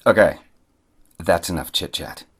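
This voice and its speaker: A man's voice: sits low, measured pace, fairly bright tone, slightly rough, full character voice. A man acting as a corrupt, commanding billionaire mayor and protective father in an audio drama, speaking curtly. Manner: curt, commanding. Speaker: actor in an audio play.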